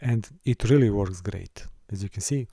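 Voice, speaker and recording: A man from Serbia, teaching a course and walking through a software console, with careful, halting phrasing. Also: lowish voice, gravelly, slightly rough, dry recording, clean studio sound. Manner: careful, halting delivery with small restarts and non-native phrasing. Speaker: course instructor. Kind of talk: screen-recorded software tutorial.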